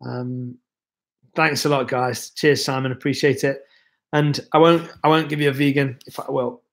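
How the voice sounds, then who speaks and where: A man's voice: medium pitch, normal speed, vocal fry. Man rambling on a livestream. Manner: relaxed, rambling, chatty with many fillers. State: losing his voice, ill. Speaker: livestream host. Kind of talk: casual livestream chat reading viewer comments.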